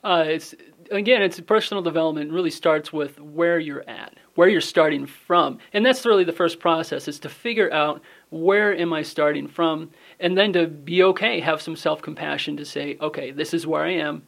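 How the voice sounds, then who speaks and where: A man's voice: on the high side, moderate pace, creaky, fairly bright tone. A man who is a guest on a radio interview, explaining his wellness business earnestly and steadily, with frequent fillers.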